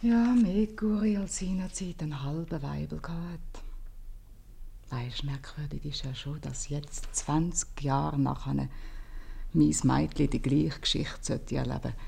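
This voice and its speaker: A homely Swiss German innkeeper's wife, middle-aged, blunt and anxious, low breathy-rough tone, old radio comedy. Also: female voice, a little slow, mellow, full character voice. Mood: earnest. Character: worried, plain-spoken innkeeper's wife, mother of a runaway daughter. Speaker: actress in a radio play.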